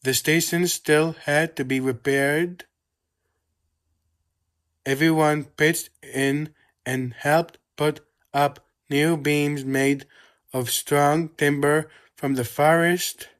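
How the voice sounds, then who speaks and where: A storybook narrator reads aloud for children. The delivery is scripted and slow, with a medium-pitched voice that gives each phrase room. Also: steady tone.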